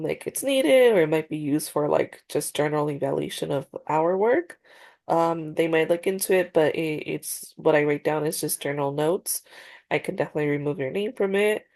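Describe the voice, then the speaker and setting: Low gravelly stuffy-nosed woman counselor, lots of ums and restarts, on a video call. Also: moderate pace, darkish tone. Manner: hesitant, many fillers and restarts. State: congested, slightly nasal. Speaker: counselor in a practice session. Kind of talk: role-played therapy session.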